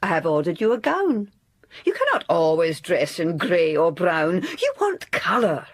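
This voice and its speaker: A woman's voice: fairly low pitch, wildly swooping pitch, measured pace, exaggerated voice. An actress playing a fond, proud middle-aged English mother in a period audio drama, genteel and theatrical. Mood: fond, brisk. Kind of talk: period radio drama acting.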